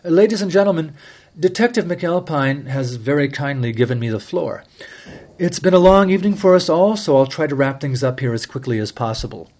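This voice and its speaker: A calm, formal sleuth who lays out a case with gentle, remorseless precision, as a male narrator plays him. The voice is somewhat raised and evenly measured, a storybook detective in control of the room. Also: fairly high pitch. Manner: measured, mild yet relentless, formal address. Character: calm, incisive middle-aged male amateur sleuth.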